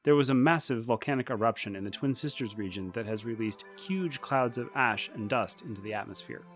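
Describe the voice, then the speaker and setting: A worried newsroom man voiced by an actor records a candid message for an audio drama. His medium-pitched voice is frank and a little awkward. Faint music sits behind him. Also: fairly quick, natural voice. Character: worried, candid colleague of a journalist, sending radio message.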